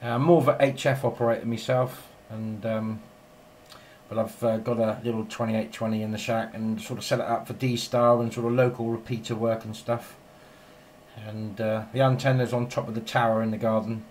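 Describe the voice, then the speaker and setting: A man's voice: fairly low pitch, flat delivery, moderate pace, a little mellow, a little hiss or hum. A man chatting casually and in a rambling way as a radio operator filming in his home shack. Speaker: amateur radio operator and video maker.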